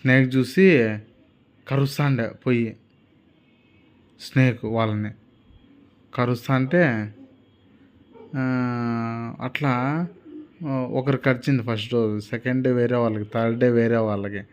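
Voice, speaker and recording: A man's voice: mid-range voice, steady tone, slow, long pauses. A man with a Rayalaseema Telugu accent tells a story to a child in an informal, conversational way, repeating and listing things simply. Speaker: storyteller telling a child a moral tale. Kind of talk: informal bedtime-style oral storytelling.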